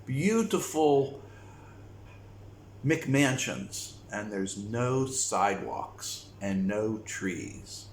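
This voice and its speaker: A man from the United States talking slowly and reflectively to his viewers in a casual vlog. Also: on the low side, big pitch swings. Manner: slow, reflective, with frequent pauses. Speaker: vlogger. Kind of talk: casual talk to camera. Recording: echoey room with faint noise.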